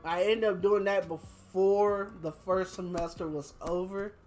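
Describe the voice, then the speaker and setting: A young man chatting casually as a podcast host, telling anecdotes in a slangy, animated way. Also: sits high, unhurried, soft background music. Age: young adult. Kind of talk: casual chat, storytelling.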